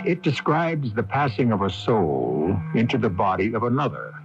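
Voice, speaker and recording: Low-voiced, theatrical male radio host. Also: very animated. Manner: deliberate, theatrical. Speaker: radio drama host and announcer. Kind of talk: dramatic scripted narration. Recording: dull lo-fi AM radio, faint music.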